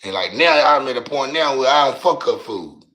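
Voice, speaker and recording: A middle-aged man with a raspy voice and a Louisiana accent, chatting on his livestream in slangy, rambling talk and often tripping over his words. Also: mid-range voice, animated, a little fast, bright, forceful tone, reverberant. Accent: Louisiana Southern US. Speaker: livestream host. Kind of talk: casual livestream chat.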